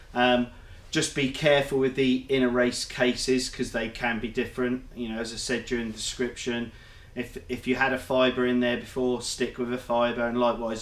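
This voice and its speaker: A man's voice: mid-range voice, roomy sound. A man, nasal from a cold, methodically explaining engine work in his workshop vlog. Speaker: motorcycle engine builder.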